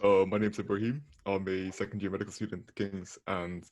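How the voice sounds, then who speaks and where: Young man, low flat voice, hesitant casual talk, close dry recording. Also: fairly quick, no room sound. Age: late teens to early 20s. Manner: hesitant, searching for words. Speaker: medical student panellist. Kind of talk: casual chat.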